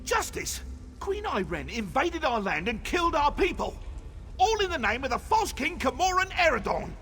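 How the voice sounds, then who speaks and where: An actor playing a bitter, vengeful rebel commander in a fantasy video game, speaking in a theatrical, impassioned way. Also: male voice, extremely high pitch, big pitch swings, moderate pace, bright, forceful tone, faint music behind, exaggerated voice. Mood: furious, impassioned. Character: bitter, vengeful male rebel commander grieving his father.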